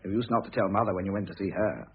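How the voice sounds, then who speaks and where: An actor playing a cheeky, dry-witted young student son in an old-fashioned radio drama, flippant and teasing. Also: male voice, lowish voice, fairly even intonation, rapid-fire, a little hiss or hum, natural voice. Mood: wry, pointed. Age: young adult. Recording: muffled lo-fi old radio play.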